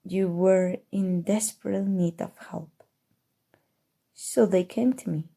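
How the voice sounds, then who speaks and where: A smug evil sorcerer, acted by a woman for an ASMR roleplay. The voice is very dark and relaxed, husky, with a gravelly fry at the edges. It speaks softly and deliberately, teasing with cool menace and slipping toward a whisper. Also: on the low side, heavily acted. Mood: sly, measured. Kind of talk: ASMR roleplay, scripted character monologue.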